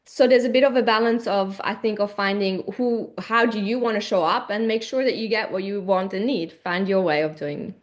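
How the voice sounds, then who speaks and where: A woman's voice: medium pitch, moderate pace, few pauses. A woman from Switzerland talking casually as the host of a conversation.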